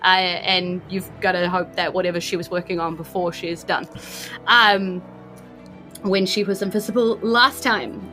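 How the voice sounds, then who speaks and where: A woman with a New Zealand accent narrating a tabletop game as game master, in an informal, halting, descriptive way. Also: lowish voice, steady tone, sharp tone, soft background music, low-quality recording.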